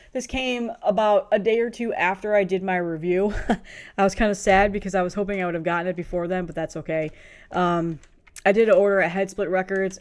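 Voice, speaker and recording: A woman in her thirties with a cold, chatting casually and enthusiastically as she reviews music, rambling a bit and laughing often. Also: on the low side. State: has a cold, sniffly. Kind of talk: casual video review chat.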